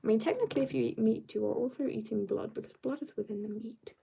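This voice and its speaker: A fairly high-voiced woman with a gravelly edge of vocal fry, chatting through a drawing livestream. She answers her viewers' messages one by one and slips into soft murmuring as she concentrates. She sounds a little tired. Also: quick pace. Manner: chatty, reads out viewer comments and answers them; drifts into quiet mumbling to herself while drawing. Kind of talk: casual livestream chat while drawing.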